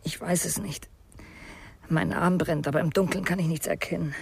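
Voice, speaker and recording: An actor playing a guilt-ridden, frightened young man, confessing haltingly and with resignation in a radio crime drama. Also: on the high side, steady tone, vocal fry, a little hiss or hum. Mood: resigned, fearful.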